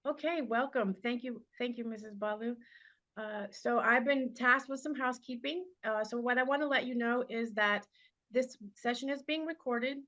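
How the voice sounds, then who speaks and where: A woman with a slightly high voice moderates a webinar over a video call. She sounds friendly and organized, with a few "uh" fillers, and the sound is dull and lo-fi. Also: normal speed, a bit bright. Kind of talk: hosting a webinar.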